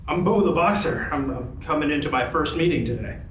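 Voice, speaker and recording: Man playing a high-pitched breezy boxer dog. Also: lively intonation, fairly quick, fairly bright tone. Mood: breezy, flustered. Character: breezy, tardy boxer dog sock puppet. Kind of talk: live amateur puppet show. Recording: echoey room, distant mic, faint noise.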